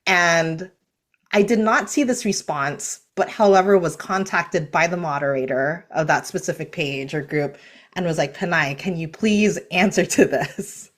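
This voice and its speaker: A woman from Hawaii chatting on a panel discussion. Her voice sits fairly low, and she tells stories with lively quoted asides, her pitch jumping up whenever she acts out what someone said. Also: unhurried. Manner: animated storytelling with quoted asides. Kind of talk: casual panel chat.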